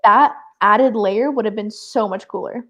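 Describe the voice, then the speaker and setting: A woman co-hosting a live show in a casual, animated book chat, emphatic and peppering her sentences with "like". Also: mid-range voice, measured pace.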